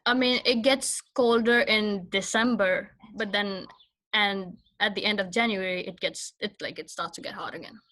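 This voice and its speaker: Bright-voiced young woman from Pakistan. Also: medium pitch, fairly even intonation, moderate pace, low-quality recording. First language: Punjabi. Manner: soft-spoken and hesitant, many fillers and restarts. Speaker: guest. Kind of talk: casual interview chat.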